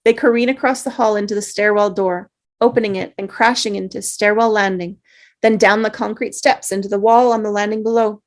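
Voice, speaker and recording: A woman's voice: medium pitch, fairly even intonation, brisk. A woman narrator reading screenplay action and scene headings, sometimes stumbling and rereading phrases. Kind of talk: scripted narration, reading stage directions.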